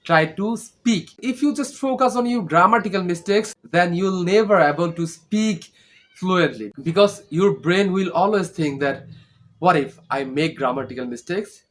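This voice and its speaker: A man's voice: sits high, expressive, normal speed. A young man from Bangladesh, a native Bangla speaker with a Bangladeshi accent, giving an earnest, informal English lesson and often checking in with "okay?". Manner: earnest, repeats tag questions like "okay?". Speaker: English learning vlogger. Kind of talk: informal teaching monologue.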